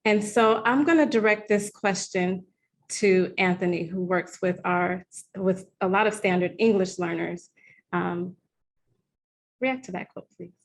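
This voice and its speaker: A woman's voice: lowish voice, measured pace. American woman moderating a webinar. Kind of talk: conversational panel moderation.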